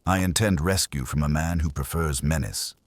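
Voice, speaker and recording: A man's voice: rumbling low, normal speed, no room sound. A man reading the part of a wisecracking private detective's legman in an audiobook, wry, blunt and persuasive. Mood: insistent.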